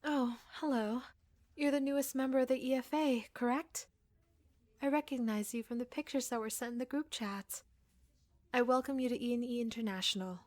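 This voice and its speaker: A woman playing an obsessive, jealous secretary in an ASMR audio roleplay, soft-spoken and often slipping into breathy whispers. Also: a little high, fairly even intonation, moderate pace, no echo, close to an everyday voice. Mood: polite, businesslike. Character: obsessive yandere secretary, devoted, jealous, coldly menacing woman.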